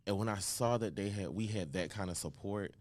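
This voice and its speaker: A man's voice: fairly low pitch, brisk, dry recording. A man, a rapper, being interviewed in a radio studio, talking emphatically and confidently. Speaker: rapper and guest. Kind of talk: casual interview.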